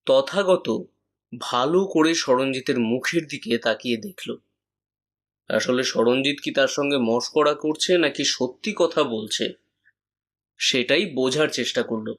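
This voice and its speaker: Male narrator for a detective audio story, medium pitch, scripted storytelling delivery. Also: unhurried, a bit bright.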